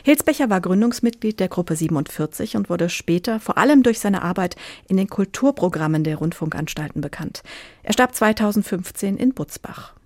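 A woman's voice: medium pitch, animated, brisk, darkish tone, no echo. A woman working as a radio presenter, reading scripted introductions and putting questions into a close studio microphone.

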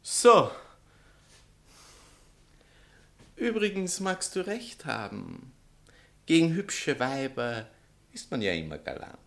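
Pompous, indignant bohemian writer. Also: male voice, fairly high pitch, sing-song, measured pace, a bit bright, exaggerated voice. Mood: wounded vanity. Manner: pompous, theatrical, declamatory, swinging from outbursts to sneers. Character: vain, indignant bohemian male writer, scornful spurned ex-lover. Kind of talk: theatrical satirical comedy acting.

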